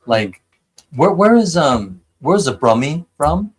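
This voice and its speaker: A man's voice: fairly high pitch, very animated, slow, breathy edge. An American man from San Diego hosting a live stream, chatting enthusiastically with lots of fillers and restarts. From San Diego, United States. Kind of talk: casual chat.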